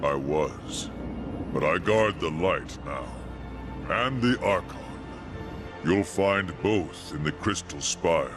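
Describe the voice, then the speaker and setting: An actor playing a solemn angel warrior who guards an outpost of light in a fantasy game, speaking formally and with measure. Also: male voice, low voice, lively intonation, slow pace, music in the background. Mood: proud, welcoming.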